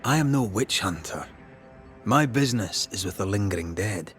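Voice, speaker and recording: An actor playing a plain-spoken, skeptical working ghost hunter in a video game, with a blunt, wry manner. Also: male voice, lowish voice, animated, moderate pace, a bit bright, faint music behind. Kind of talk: dramatic video game acting.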